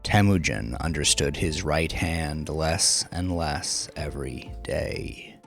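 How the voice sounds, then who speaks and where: A man with a very low voice narrates a dark crime story over music. His phrasing is measured and deliberate, with dramatic, drawn-out line endings. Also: vocal fry.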